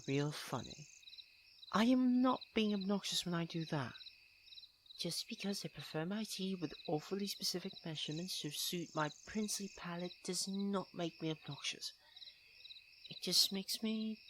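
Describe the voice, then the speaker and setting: A man's voice: a little high, expressive, a little slow. A man playing a young tsundere prince, haughty yet tender-hearted, speaking formally and intimately to the listener in an ASMR roleplay. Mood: indignant, haughty. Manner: formal, princely, precise wording. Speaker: roleplay voice actor. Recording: clear ambient noise with chirping crickets. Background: night crickets and insects.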